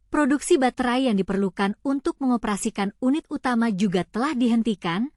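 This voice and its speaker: A woman's voice: on the high side, a little mellow, no echo, studio quality. A woman narrating scripted news reports as a news narrator.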